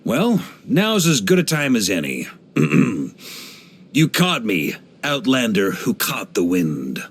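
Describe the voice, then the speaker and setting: An actor playing a genial, laid-back middle-aged knight commander in a video game, with a theatrical, easygoing manner. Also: male voice, fairly low pitch, wildly swooping pitch, slow pace, breathy edge, heavily acted. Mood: reflective, wry. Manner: jovial, laid-back, theatrical, given to chuckles. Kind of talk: English video game character voice lines.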